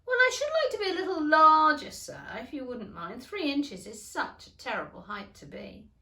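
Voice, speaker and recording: A woman's voice: high-pitched, very animated, moderate pace, sharp tone, pitched above the performer's own voice, full character voice. A woman voicing a polite, fretful little girl from a storybook, prim and plaintive, exclaiming as she speaks. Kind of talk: storybook character reading. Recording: echoey room.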